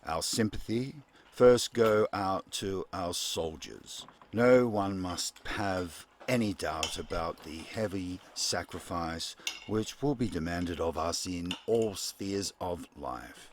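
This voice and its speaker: A man playing a solemn 1940s wartime radio newsreader, reading a formal bulletin in a measured, deliberate way. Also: low voice. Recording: clearly noisy recording with clicks.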